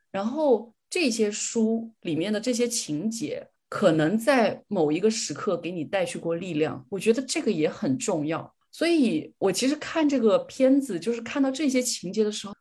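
A woman's voice: medium pitch, darkish tone, slightly rough. A woman co-hosting a podcast, discussing things casually in an earnest, reflective way. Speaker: podcast co-host.

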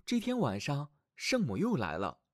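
Animated male fairy-tale storyteller. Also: mid-range voice, moderate pace, dry recording, studio quality. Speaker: children's storyteller, show host. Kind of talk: expressive scripted fairy-tale narration.

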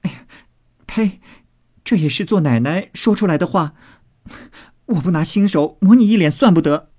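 A man's voice: medium pitch, very animated, moderate pace, darkish tone, pitched above the performer's own voice. A man voicing a cheeky, spirited young maidservant in a storybook, saucy and mock-indignant with many exclamations.

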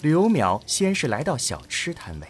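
A man narrating a scripted audio drama as an expressive storyteller. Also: a little high, normal speed, slight background noise.

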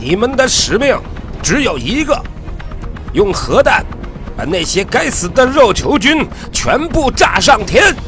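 A man playing a stern, middle-aged army general in a radio drama, barking clipped, forceful orders. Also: very high-pitched, very animated, unhurried, soft background music, heavily acted. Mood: rousing, fierce. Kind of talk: Chinese radio drama acting.

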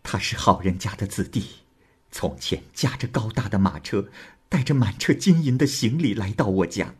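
A man's voice: medium pitch, breathy edge, voice pushed up. A man voicing a remorseful young woman courtesan who speaks earnestly and pleadingly.

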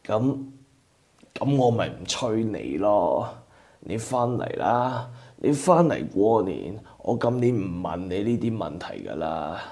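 A man's voice: fairly low pitch, very animated, takes it slowly, darkish tone, heavily acted. A man with a Cantonese accent playing a caring, anxious older father in a radio drama. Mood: coaxing, anxious. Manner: fussing, coaxing.

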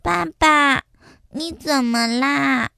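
A woman's voice: sits high, gravelly, bright, forceful tone, no echo, clean studio sound, full character voice. A woman voicing a spoiled but sweet little egg girl who whines and pleads in a childish way, in a storybook reading. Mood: earnest. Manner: pleading, drawn-out childish whining.

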